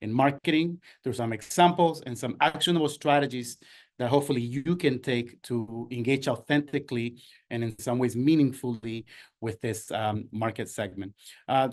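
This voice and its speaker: A man in his forties from Ecuador leads a workshop presentation. His voice sits at a middle pitch, neither high nor low. He speaks earnestly, with fillers and restarts as he works through his points. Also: brisk. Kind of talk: presentation talk.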